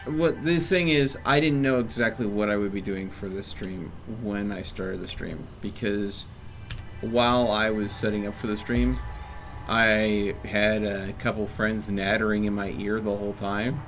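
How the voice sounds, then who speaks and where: Low-voiced man rambling through a game stream. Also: steady tone, a little slow, fairly bright tone, faint music behind. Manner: rambling, thinking aloud. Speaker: game livestreamer. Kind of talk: casual gameplay commentary. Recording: faint noise behind voice.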